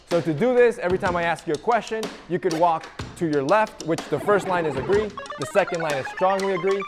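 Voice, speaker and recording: A man with a fairly high voice moderates a group debate with casual, brisk prompts. His voice rings in a reverberant space. Music plays clearly behind him. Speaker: host of a debate video.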